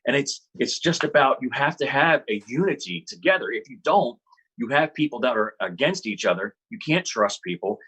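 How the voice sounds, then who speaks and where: A man's voice: mid-range voice, lively intonation, normal speed, a bit bright, husky, poor audio. A man on a panel, making earnest, argumentative points. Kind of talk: panel discussion.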